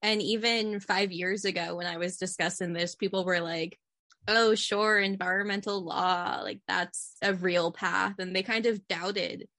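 A woman's voice: medium pitch, fairly even intonation, unhurried, pushed and bright, dry recording. A young woman in her twenties, a student co-host chatting casually and eagerly. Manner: casual, eager, with fillers like "kind of" and "like". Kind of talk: podcast interview.

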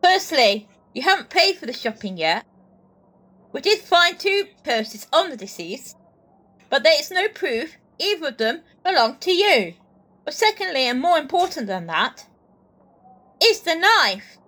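A brisk, commanding store security guard, played by a woman. Her voice is high and bright and rises and falls a lot as she gives orders and questions a suspect. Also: very animated, full character voice. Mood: stern. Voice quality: brisk, authoritative. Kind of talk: amateur audio drama acting.

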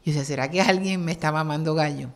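A middle-aged woman from Colombia, a guest on an interview show, telling stories in an animated, expressive way. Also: low-pitched, very fast. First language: Spanish. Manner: lively, expressive, acts out quoted voices. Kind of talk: animated storytelling in conversation.

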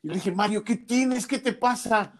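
A man's voice: high voice, moderate pace. A man, a sports journalist, telling earnest stories about a late colleague in conversation. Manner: storytelling, earnest.

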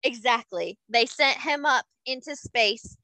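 A young woman co-hosting a casual chat, explaining things slowly with fillers and small laughs. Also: a little high, pushed and bright. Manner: unhurried, explains facts with frequent fillers and laughs. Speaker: podcast co-host.

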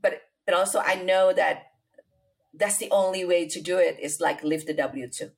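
Low, gravelly woman with Indonesian accent. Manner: unhurried, many fillers and restarts, non-native grammar. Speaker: guest. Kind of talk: personal story in an interview.

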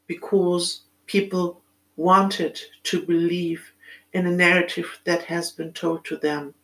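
German-accented woman talking slow and thoughtful, lots of pauses and ums, middle-aged podcast host vibe. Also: on the low side, vocal fry, poor audio. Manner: thoughtful, halting, with many pauses and fillers. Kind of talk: reflective solo monologue.

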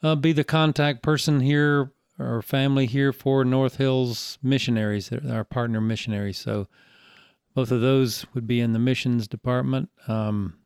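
Smooth-voiced older Southern man. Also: medium pitch, steady tone, normal speed, a little mellow, no room sound, studio quality. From Greenville, South Carolina. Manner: easygoing, folksy, rambling with fillers. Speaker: church elder and missionary guest. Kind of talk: casual interview conversation.

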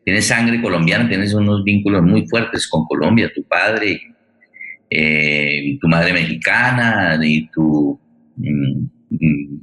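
Low, echoey male forum host. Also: a bit bright. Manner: courteous, roundabout, halting. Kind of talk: conversational interviewing. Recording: echoey, reverberant room sound.

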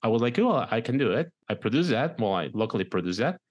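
A man's voice: mid-range voice, big pitch swings, fast talker. A middle-aged man from Mexico with a Mexican Spanish accent, a guest talking through his career, often repeating words as he searches for them. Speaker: guest, director and producer. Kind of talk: casual interview talk.

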